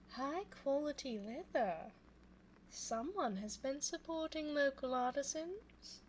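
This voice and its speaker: A woman playing a scheming, seductive female vampire in an ASMR audio roleplay, speaking formally and teasingly in a breathy voice that often drops toward a whisper. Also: sits high, a little mellow, slight background noise, heavily acted, poor audio. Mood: smooth, flattering. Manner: formal, coy, teasing, drawn-out phrasing. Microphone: close binaural microphone.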